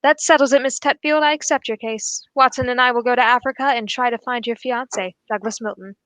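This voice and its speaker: A woman's voice: a little high, fairly even intonation, a little fast, a bit bright, dry recording, lightly acted, poor audio. A woman playing a keen, assured male English detective in a radio drama, speaking in a formal, analytical way. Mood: brisk, decisive.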